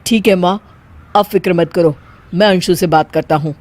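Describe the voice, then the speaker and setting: A woman's voice: fairly low pitch, normal speed, a little mellow, lightly acted. A woman playing a concerned, mildly irritated husband and son in a cartoon story, speaking plainly and reprovingly. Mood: calm, weary.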